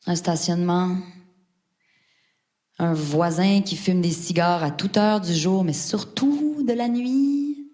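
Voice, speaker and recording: A woman's voice: fairly low pitch, slow, mellow. An actress playing a dreamy woman delivering a poetic theatrical monologue, lingering on her words. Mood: dreamy, musing. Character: dreamy woman confiding a fascination with houses and landscapes. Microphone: stage microphone. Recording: music under the voice. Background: music, seashore waves and gulls.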